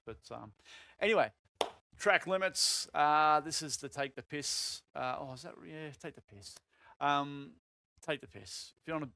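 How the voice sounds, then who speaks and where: A man, voice going croaky, coaching a sim racing lap in casual talk with lots of fillers. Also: mid-range voice, no echo. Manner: relaxed, filler-heavy explaining with many "um"s. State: voice getting croaky.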